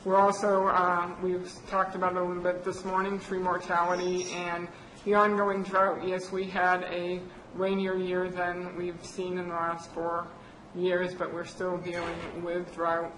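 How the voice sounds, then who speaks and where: A woman's voice: on the low side, completely flat delivery. Woman presenting in an echoey hall. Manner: halting, many fillers and restarts. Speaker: county budget presenter. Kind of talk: public meeting presentation. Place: county board meeting room. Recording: echoey chamber with air conditioner noise.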